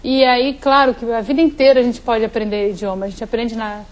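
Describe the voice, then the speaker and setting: Woman neuropsychologist guest with a slightly high voice and a calm, explanatory manner. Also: a little fast. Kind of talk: studio interview.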